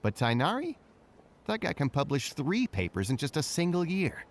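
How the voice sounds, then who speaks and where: A man's voice: fairly high pitch, huge pitch swings, normal speed. A man voicing an easygoing, self-deprecating academy scholar in a video game, chatty and wry. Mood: reassuring, wry.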